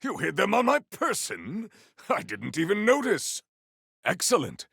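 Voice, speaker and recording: An actor playing a proud, battle-eager armored warrior-poet in a video game, with formal, theatrical diction. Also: male voice, high-pitched, wildly swooping pitch, measured pace, gravelly, fairly bright tone, breathy edge, dry recording, studio quality, exaggerated voice. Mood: dry, formal. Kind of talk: scripted game character lines.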